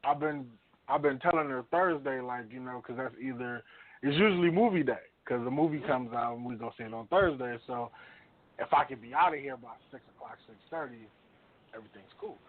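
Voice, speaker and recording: A man's voice: mid-range voice, a little fast. A man co-hosting a radio show in casual chat, with a blunt, slangy way of talking. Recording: narrow tinny phone-like sound.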